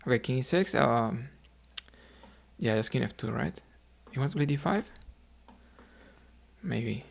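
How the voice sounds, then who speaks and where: Man, gravelly fry, middle-pitched, hesitant muttering, thinking out loud as a chess streamer. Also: animated, unhurried. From Cuba. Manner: thinking aloud, muttering moves quietly, hesitant. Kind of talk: live-streamed commentary on his own chess games.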